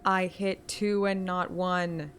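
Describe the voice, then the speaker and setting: A woman's voice: fairly low pitch, level tone, slow, a little hiss or hum. A woman streamer with a casual, giggly, self-deprecating manner who swears and reads a game story aloud. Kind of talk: casual chat and dramatic story read-aloud. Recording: loud music behind. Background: music.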